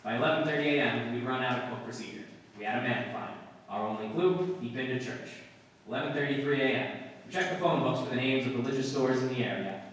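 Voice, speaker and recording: A man playing a terse, dry, matter-of-fact police sergeant in 1950s radio drama style, with clipped deadpan delivery. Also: mid-range voice, fast talker, slight background noise, close to an everyday voice. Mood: flat, official. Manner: clipped, deadpan questioning and reporting. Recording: very echoey hall, distant mic, music.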